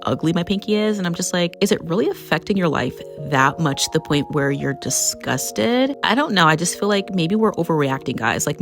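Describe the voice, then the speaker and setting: Young woman, raspy tired voice, lowish pitch, casual rambling chatter, music behind. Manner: candid, rambling, uses "like" and "you know" often. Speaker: nail tech vlogger.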